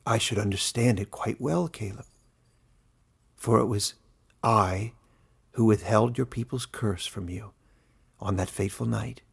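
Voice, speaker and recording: A man playing a wise old forest warden in a fantasy story, gentle, soft-spoken and measured. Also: fairly low pitch, vocal fry, slightly rough, exaggerated voice. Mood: quiet, solemn.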